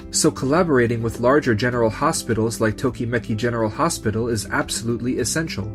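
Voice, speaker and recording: A man's voice: medium pitch, lightly acted. A man voicing an earnest, polite young clinic nurse who also narrates a manga dub. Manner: polite, sincere. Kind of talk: scripted dub acting and narration.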